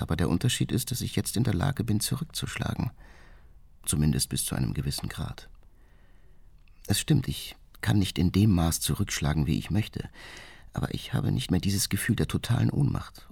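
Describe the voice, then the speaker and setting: An actor playing an embittered, vengeful bomber hermit reading his secret diary in a radio drama, gravelly and husky with controlled anger. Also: low-pitched, a little mellow, dry recording, heavily acted.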